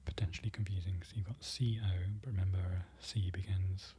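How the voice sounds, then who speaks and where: A presenter whispering an ASMR-style lesson, slowly repeating foreign words and correcting themselves. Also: low-pitched, steady tone, husky. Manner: whispering throughout, soft and close. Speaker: presenter learning Polish from a book.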